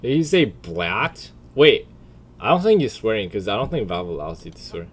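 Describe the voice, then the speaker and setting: A man's voice: on the low side, big pitch swings, moderate pace, breathy edge. A man giving casual, slangy reaction commentary as a streamer, blunt and full of swearing. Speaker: streamer and video creator.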